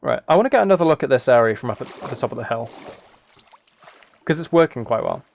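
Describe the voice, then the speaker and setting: A man's voice: medium pitch, animated, fast talker, gravelly, a little hiss or hum. A man chatting casually on a livestream as he plays a game, rambling and thinking aloud. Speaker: live streamer. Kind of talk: casual livestream chat while gaming, reading chat.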